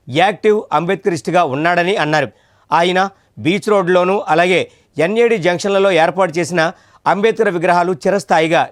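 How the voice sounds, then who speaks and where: A man's voice: high-pitched, no echo, studio quality. A man narrating a scripted news report as a voice-over.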